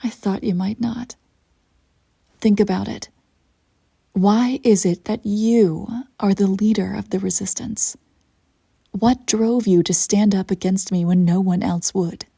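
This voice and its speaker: A woman playing an imperious evil empress in an audio roleplay, coolly seductive and menacing, with theatrical, deliberate phrasing. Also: mid-range voice, steady tone, gravelly, dark tone, husky, no room sound, studio quality. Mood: taunting, intimate. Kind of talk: scripted audio roleplay acting.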